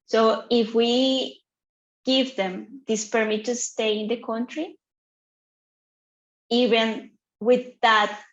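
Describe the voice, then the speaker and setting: A woman from Colombia with a Colombian Spanish accent, a native Spanish speaker, presenting earnestly on a panel in halting English with restarts over a video call. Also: fairly high pitch, steady tone, reverberant, lo-fi sound. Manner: earnest, halting second-language phrasing with restarts.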